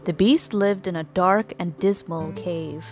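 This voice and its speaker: A woman narrating a scripted children's story in an expressive, dramatic storytelling manner. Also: fairly low pitch, unhurried, soft background music.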